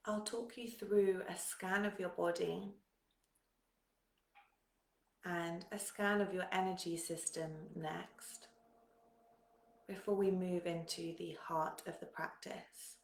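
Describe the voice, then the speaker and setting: A woman guides a meditation very slowly, with generous pauses between phrases. Her voice sits fairly low and has a creaky edge. She sounds calm and soothing as she gives gentle instructions. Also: roomy sound.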